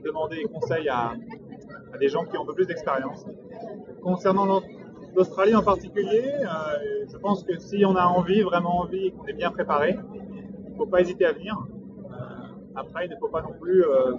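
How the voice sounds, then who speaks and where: A young man in his twenties from Brittany gives advice in a measured, hesitant way, pausing often as he thinks. His voice sits a little high for a man. The recording is muffled and distant, with loud noise behind him. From Brittany, France. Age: 20s. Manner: measured and advisory, many hesitations. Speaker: entrepreneur interviewee. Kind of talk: interview monologue.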